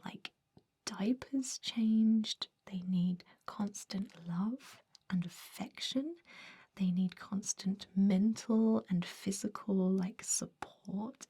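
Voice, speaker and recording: A woman voicing a centuries-old vampire job interviewer in a breathy whisper, chatty and confiding, in an ASMR roleplay. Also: medium pitch, mellow. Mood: disdainful. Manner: gossipy, confiding, hesitant rambling. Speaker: human resources interviewer.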